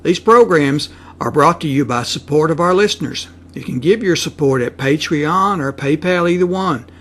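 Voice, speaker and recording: A man's voice: fairly high pitch, moderate pace, fairly bright tone. A man hosting a channel, reading a plain, friendly introduction. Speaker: channel host.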